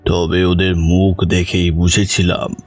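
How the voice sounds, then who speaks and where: A man playing a grave grandfather who tells of evil spirits in a measured, suspenseful horror audio story. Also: low voice, completely flat delivery, soft background music, heavily acted. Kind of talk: slow dramatic horror storytelling.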